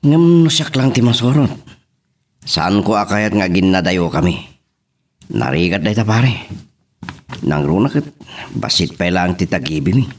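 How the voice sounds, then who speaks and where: Male actor as anxious farmer husband, radio drama; low rough voice, very varied intonation. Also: big pitch swings, unhurried, long pauses, heavily acted. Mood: resigned, uneasy. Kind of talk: amateur radio-style drama acting.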